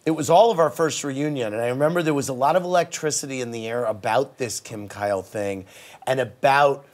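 A man in his forties hosting a talk show, speaking candidly and quickly with frequent restarts. His voice sits at a middle pitch and is picked up close on a lapel mic in a television studio. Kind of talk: casual interview chat. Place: TV studio set.

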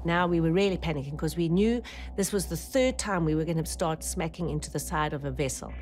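A middle-aged woman, giving documentary testimony as a shipwreck survivor, retelling events vividly with halting restarts, in a dark studio on an off-camera mic. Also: deep voice, slight background noise. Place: dark indoor interview studio.

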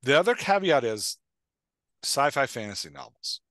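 A man in his thirties co-hosting a podcast over a video call on a headset mic, speaking emphatically and then dropping quiet. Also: mid-range voice, sing-song, moderate pace, no room sound. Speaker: podcast co-host. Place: home room.

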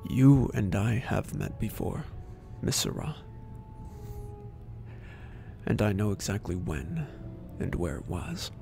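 Man acting a halting, earnest inventor, slow and gravelly. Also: fairly low pitch, sing-song, dark tone, exaggerated voice. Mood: tender, reflective. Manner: halting, searching for words. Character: hesitant, earnest male inventor, soft-hearted and awkward. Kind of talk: audio drama acting. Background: music.

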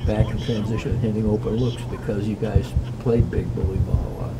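A man's voice: fairly low pitch, little pitch movement, brisk, loud music behind the voice. A male reporter asking detailed, stat-heavy questions at a postgame interview. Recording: loud locker room noise.

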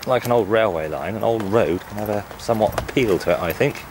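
A man's voice: lowish voice, fairly quick. A man giving a casual, musing walking commentary on a handheld camera outdoors. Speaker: amateur film narrator. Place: outdoors on a country path. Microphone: handheld camera microphone. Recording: noisy outdoor camcorder sound.

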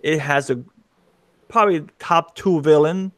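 A middle-aged man speaks into a big studio mic from his home room. His voice sits a little high for a man, and the sound is dry and close. He delivers firm opinions and moves the talk briskly along. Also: a bit bright, no echo. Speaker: co-host. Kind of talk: casual movie-ranking chat. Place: room at home with screen panels. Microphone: large studio mic with headphones.

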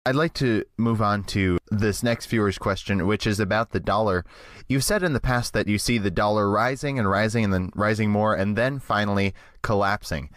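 Low-voiced male interviewer, dry sound. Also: normal speed, no room sound. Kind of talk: measured interview questioning.

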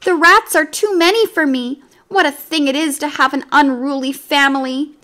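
A woman's voice: high-pitched, normal speed, pushed and bright, voice pushed up. A woman voicing a fretful mother cat who talks tearfully and pleads in distress.

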